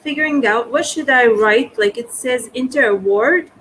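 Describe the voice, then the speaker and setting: A woman's voice: fairly high pitch, moderate pace, fairly bright tone, a little hiss or hum. A woman taking part in an online workshop over a video call, asking hesitant questions. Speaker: workshop participant.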